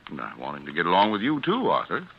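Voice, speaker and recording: A man's voice: deep voice, huge pitch swings, fast talker, creaky, slight background noise, heavily acted. An actor playing a fatherly, reassuring law office boss, middle-aged, with an American accent and an earnest manner, in 1940s radio style. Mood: placating. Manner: earnest, avuncular. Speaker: defense attorney, head of a law office. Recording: muffled vintage radio recording.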